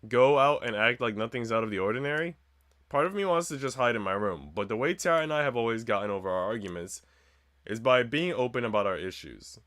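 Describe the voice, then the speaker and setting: A man's voice: medium pitch, expressive, fairly quick, a bit bright, no room sound. A man reading a visual novel aloud as a streamer, narrating the script and dropping in slangy, joking asides.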